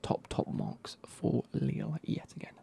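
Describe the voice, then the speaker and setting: A man, reviewing football kits in a soft, casual whisper with wry asides. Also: a little slow.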